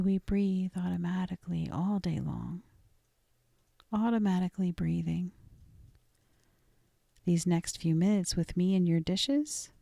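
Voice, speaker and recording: A woman leading a guided meditation in a soft, gentle, deliberate voice that often trails toward a whisper, with frequent pauses. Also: lowish voice, vocal fry, no room sound, studio quality. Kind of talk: guided meditation, scripted and soothing.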